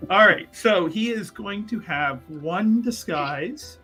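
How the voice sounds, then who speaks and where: A man at a tabletop roleplaying game, casually and hesitantly thinking out loud about the details. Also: sits high, animated, takes it slowly, husky, soft background music.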